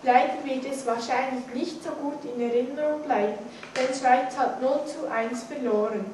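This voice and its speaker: A woman's voice: high voice, steady tone, normal speed, darkish tone. A teenage girl reads a news bulletin aloud carefully, sometimes stumbling over words. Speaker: student news presenter. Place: indoor school classroom, seated at a desk. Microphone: distant camera microphone. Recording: echoey classroom, distant mic, faint noise.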